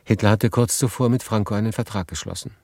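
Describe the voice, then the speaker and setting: An actor playing a sober, reflective refugee man who narrates his own story in a measured, literary radio drama style. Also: fairly low pitch, fairly even intonation, darkish tone, no room sound, clean studio sound. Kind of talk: scripted first-person radio drama narration.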